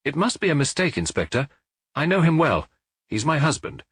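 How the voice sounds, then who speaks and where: Man voicing an indignant wife, pitched up. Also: sing-song, normal speed, fairly bright tone, husky, dry recording, clean studio sound, full character voice. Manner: protesting, insistent. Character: indignant, loyal wife defending her husband. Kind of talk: audiobook character reading.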